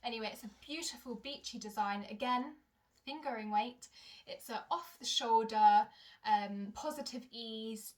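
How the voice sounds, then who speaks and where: Chatty young woman with a high voice. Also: measured pace. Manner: chatty, rambling, self-correcting. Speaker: knitting vlogger. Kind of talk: casual chat to camera. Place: home in Germany.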